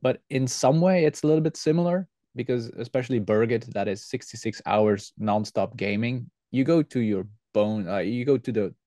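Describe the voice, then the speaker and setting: Podcast guest: male, relaxed and fairly low voice, close dry sound, explains things earnestly. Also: moderate pace, few pauses, no echo. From Belgium. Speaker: podcast guest, airsoft team leader. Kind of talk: conversational interview answers.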